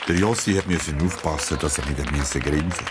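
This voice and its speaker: A man with a Swiss German accent narrating a children's radio play as an expressive storyteller. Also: extremely low pitch, quick pace, over a noisy crowd, very noisy.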